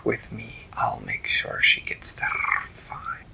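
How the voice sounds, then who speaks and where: A man voicing a calm storybook cat messenger in a hushed, breathy whisper. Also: slow, close to an everyday voice. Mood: calm, assured.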